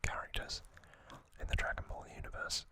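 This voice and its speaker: A man whispering slowly and deliberately in breathy, hushed short phrases, as an ASMR host narrating trivia. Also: no echo.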